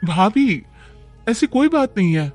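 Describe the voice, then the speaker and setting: Cartoon heroine, about thirty, man acting her: slightly high voice, courteous, earnest, self-respecting. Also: very animated, a little slow, faint music behind. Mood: protesting. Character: polite, self-respecting unmarried woman of nearly thirty. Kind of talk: animated moral story dialogue.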